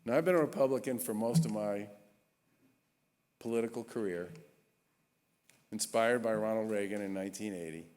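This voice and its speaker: A man in his sixties, a state governor, giving an earnest, emphatic introduction speech with measured pauses at a gala. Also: fairly low pitch, darkish tone. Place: large historic church. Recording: long reverb of a large church hall.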